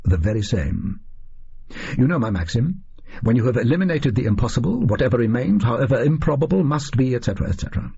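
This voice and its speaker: A man voicing a brisk, eccentric, self-assured Victorian detective with an English accent and a pedantic, emphatic manner in an audio story. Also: mid-range voice, big pitch swings, vocal fry, mellow, breathy edge, lo-fi sound, heavily acted. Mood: confident.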